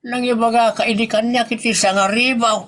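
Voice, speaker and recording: A man playing a hapless, pleading husband and father in a comedy audio drama, stammering and exclaiming excitably in a husky voice. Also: very high voice, moderate pace. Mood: pleading, agitated.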